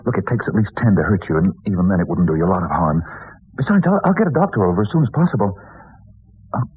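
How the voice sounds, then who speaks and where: A man's voice: deep voice, very animated, fast talker, husky, full character voice. An actor playing a nervy, scheming young man in an old-time radio play, sweet-talking and stammering. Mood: coaxing. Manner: stammering, hesitant, persuasive. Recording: tinny, band-limited old radio recording.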